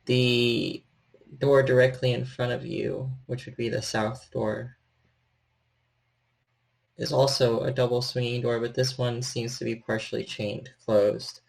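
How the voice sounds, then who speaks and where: A man working as storyteller, narrating a horror role-playing game in a measured, descriptive way. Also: mid-range voice, little pitch movement, vocal fry, low-quality recording.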